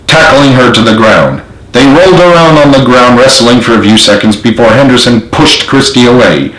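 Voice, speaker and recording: A man's voice: mid-range voice, fairly bright tone. Echoey male author narrating his story. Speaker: author reading own novel. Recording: roomy, echoey home recording.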